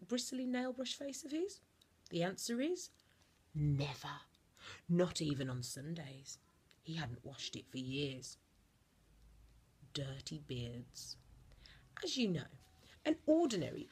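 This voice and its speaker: A woman reading a children's storybook aloud like a teacher, expressively, with theatrical emphasis and deliberate dramatic pauses. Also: sits low, husky.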